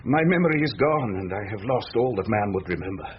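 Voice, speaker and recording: An actor playing a haunted, despairing gentleman, grave and halting, in old-time radio drama style. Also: on the low side, expressive, lightly acted. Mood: grave, hopeless. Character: haunted, despairing gentleman who lost his memory. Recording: muffled, noisy old radio recording.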